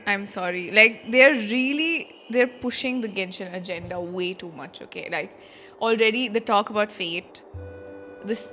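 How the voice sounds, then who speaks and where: Bright-voiced woman streamer. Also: mid-range voice. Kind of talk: casual live game reaction.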